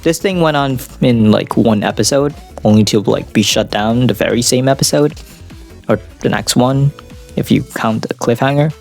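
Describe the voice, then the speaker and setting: Creaky-voiced man reviewing anime over music. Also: medium pitch, moderate pace, darkish tone. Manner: informal, profane, dramatic asides. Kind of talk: casual opinionated video essay commentary. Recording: background music under voice.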